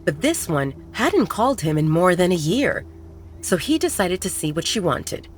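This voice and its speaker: A woman's voice: on the low side, sing-song, moderate pace, a little hiss or hum. Woman narrating a werewolf romance audiobook.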